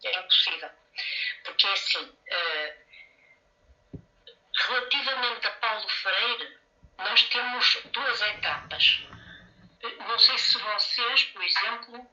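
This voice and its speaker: A woman with a European Portuguese accent, speaking as a panel guest and explaining slowly, repeating words as she thinks, over a video call. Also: mid-range voice, bright, forceful tone. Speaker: panel guest, education researcher. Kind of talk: academic explanation. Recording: echoey, distant, muffled call audio.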